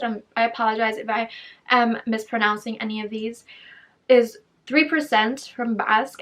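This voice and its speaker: A young woman from Puerto Rico, talking in a chatty, upbeat way as she shares news with her viewers, with fillers and quick self-corrections. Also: on the high side, fairly bright tone. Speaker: vlogger. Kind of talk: casual vlog.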